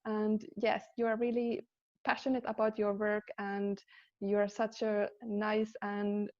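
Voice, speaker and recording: A woman's voice: mid-range voice, completely flat delivery, unhurried, dull audio. A woman from Finland, hosting a live podcast interview in an upbeat way, with fillers and restarts. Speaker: podcast host.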